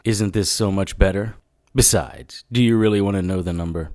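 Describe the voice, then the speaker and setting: A man's voice: low voice, fairly even intonation, moderate pace, a little mellow, no echo, studio quality, close to an everyday voice. A man acting a wry, hard-boiled occult detective who narrates and talks in a noir radio drama. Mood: wry banter. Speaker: narrator and lead character.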